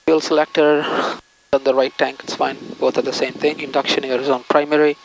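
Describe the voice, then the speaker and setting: A man's voice: mid-range voice, level tone, brisk, creaky, a little hiss or hum, rough recording. A man, a pilot, calling out pre-flight checks to himself in clipped, methodical phrases in a small plane cockpit.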